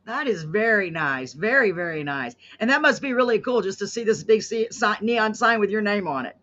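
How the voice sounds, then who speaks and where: A woman's voice: mid-range voice, expressive, fairly quick, a bit bright, lo-fi sound. A woman with a Texan accent hosting a casual live interview, chatty and enthusiastic. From Texas. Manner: chatty, enthusiastic, reads viewer comments aloud. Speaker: host.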